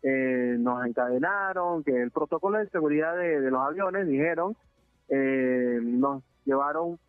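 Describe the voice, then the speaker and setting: A man from Venezuela, giving a halting, earnest testimony in a phone interview, full of filler sounds and restarts. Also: medium pitch, no room sound, faint music behind. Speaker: interviewee, deported migrant. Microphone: phone line. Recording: narrowband phone line, dry.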